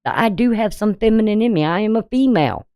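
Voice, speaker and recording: A woman with a deep voice giving a casual daily astrology reading, talking bluntly and conversationally with frequent "you know". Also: expressive, brisk, a little mellow, clean tone, no room sound, studio quality. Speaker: astrology channel host.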